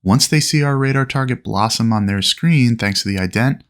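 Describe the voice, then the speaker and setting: A man's voice: mid-range voice, normal speed, a bit bright. A man narrating a flight lesson as an instructor, explaining procedures methodically in an instructional style. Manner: clear, methodical explaining with aviation radio phraseology.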